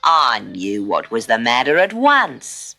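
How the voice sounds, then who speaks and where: A kindly mother kangaroo from a children's storybook, voiced by a woman. She talks gently and emphatically in a chatty, matter-of-fact way, taking her time as her pitch leaps up and down. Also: wildly swooping pitch, slow pace, vocal fry, heavily acted. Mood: gentle sympathy.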